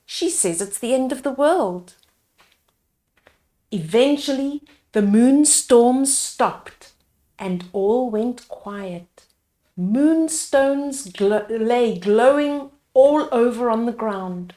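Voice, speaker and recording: A woman reading a children's picture book aloud as a storyteller, pausing to explain the pictures to young listeners. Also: a little high, expressive, warm tone. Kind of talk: read-aloud storytime narration with asides to children.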